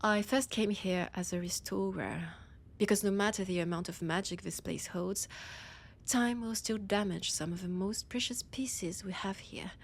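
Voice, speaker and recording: A woman with a French accent playing an ancient, proud, teasing vampire scholar in an audio roleplay, deliberate and often dropping into breathy whispers. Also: mid-range voice. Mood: fond, amused. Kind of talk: scripted roleplay audio drama monologue.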